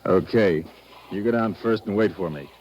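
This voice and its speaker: A man's voice: lowish voice, normal speed, muffled recording, close to an everyday voice. A man playing a businesslike sailor-diver giving instructions in a vintage radio drama. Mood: matter-of-fact. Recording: noisy, clicking old radio recording.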